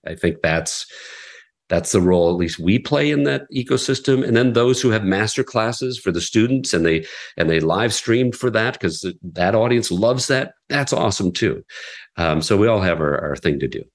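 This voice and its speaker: A middle-aged man with a very low voice talks through an interview answer. He is earnest and a little rambling, circling back and restarting his sentences as he explains his work in classical radio. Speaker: classical radio station president.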